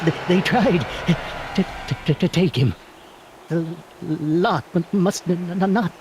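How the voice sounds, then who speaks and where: An actor playing a frightened man who stammers in halting broken phrases in a video game. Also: high-pitched, background noise. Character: frightened, stammering man struggling to get words out. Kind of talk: cartoonish video game dialogue.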